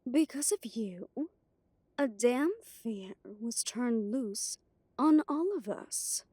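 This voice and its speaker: A woman playing a proud, commanding female vampire coven leader in an audio drama, speaking in a deliberate, imperious manner. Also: a little high, expressive, dark tone, slightly rough, no echo, full character voice. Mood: cold anger. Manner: slow, deliberate, imperious speech.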